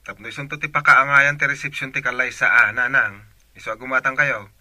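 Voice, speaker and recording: A man's voice: mid-range voice, fairly even intonation, brisk, bright, forceful tone, dry recording. A man playing an eager, insistent grown son in a radio drama, with a Filipino Ilocano accent.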